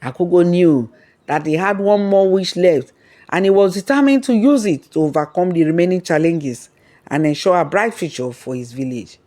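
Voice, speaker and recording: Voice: mid-range voice, lively intonation, moderate pace, warm tone. A storyteller reading a scripted folktale narration.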